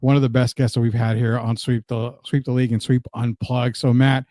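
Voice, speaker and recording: A man hosts an interview show in an informal, chatty way. His voice is dark and relaxed with a middle pitch. The sound is very dry, with no room around it. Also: a little fast, dry recording. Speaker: host of an entertainment and sports show. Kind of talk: casual interview chat.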